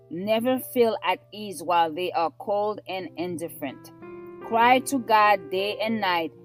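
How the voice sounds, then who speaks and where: A woman giving a solemn scripted devotional reading in an earnest, measured way with emphatic pauses. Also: mid-range voice. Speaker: devotional reader. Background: instrumental music.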